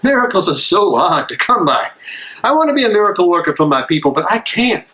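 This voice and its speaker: A man acting an earnest, weary American minister of the 1930s in a live dramatic reading, speaking emphatically and deliberately. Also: sits high, sing-song, sharp tone, exaggerated voice. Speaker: actor in a dramatic play reading.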